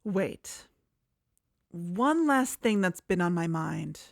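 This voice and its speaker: A woman's voice: on the low side, expressive, slow, creaky, dry recording, clean studio sound, full character voice. A woman playing an earnest, suspicious podcast investigator in a conversational audio drama. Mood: pensive, suspicious. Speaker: podcast co-host. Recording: music under the voice.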